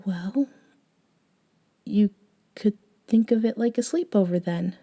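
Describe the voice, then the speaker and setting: A woman playing a gentle, eccentric young woman, a caring friend, in an intimate ASMR audio roleplay, soft-spoken with hesitant pauses. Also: mid-range voice. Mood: tentative, caring.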